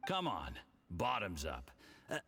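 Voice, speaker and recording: A man's voice: low voice, wildly swooping pitch, slow, vocal fry, pushed and bright, slightly rough, exaggerated voice. An actor playing a suave, courteous, polished man in a video game. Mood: suave, inviting.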